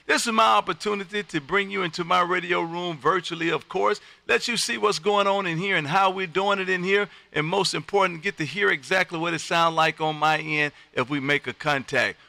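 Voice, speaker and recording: An American man in middle age with a very bright, punchy voice that sits fairly high and carries some gravel. He works the airwaves as an exuberant ham radio host, repeating his phrases for emphasis. The sound is close and dry. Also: moderate pace, hardly any pauses, no room sound. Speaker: amateur radio operator and livestream host. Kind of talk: lively on-air ham radio chat with showman patter. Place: home radio room.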